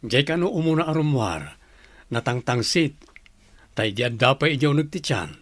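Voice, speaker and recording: A man's voice: mid-range voice, animated, moderate pace, fairly bright tone, dry recording, lightly acted. A man playing a teasing, gossipy village buddy in an Ilocano radio comedy-drama.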